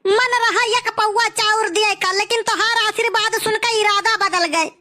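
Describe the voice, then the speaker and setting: A woman's voice: very high voice, fairly even intonation, moderate pace, pushed and bright. A woman playing a scornful young village housewife in a cartoon comedy, scolding sarcastically with an Awadhi accent.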